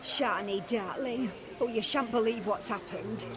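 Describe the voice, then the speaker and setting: A woman's voice: fairly high pitch, unhurried, a crowd in the background, natural voice. A woman doing a fussy, dramatic mother of the bride. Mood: gushing. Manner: gushing, theatrical, exasperated.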